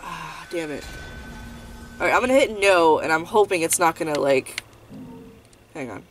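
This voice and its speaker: A woman's voice: low-pitched, lively intonation, moderate pace. A young woman chats loosely as she plays a game at home, her voice stuffy and congested from a lingering cold. She rambles, restarts and fills gaps with ums, with faint game music under her. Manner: rambling, many fillers and restarts. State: congested, sniffling. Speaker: gaming channel host. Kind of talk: casual let's-play chat. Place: home room, indoors.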